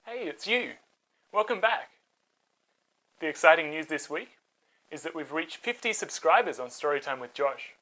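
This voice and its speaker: A woman narrating a scripted children's Bible story in a dramatic, expressive way. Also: low voice, normal speed, pauses often, poor audio.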